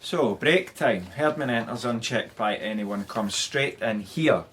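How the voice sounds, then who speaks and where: A man playing a brisk, matter-of-fact Scottish police officer in a radio drama. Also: medium pitch, fairly bright tone, a little hiss or hum, close to an everyday voice. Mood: businesslike.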